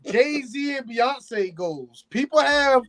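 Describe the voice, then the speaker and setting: A man on a talk show arguing in casual chat, blunt and animated. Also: very high voice, slow. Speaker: show regular.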